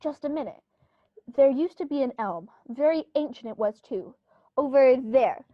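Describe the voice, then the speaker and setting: A woman playing a flustered upper-class gentleman in a radio play, fussy and easily rattled. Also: sits high, animated, moderate pace, no room sound, muffled recording. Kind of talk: 1930s radio play acting.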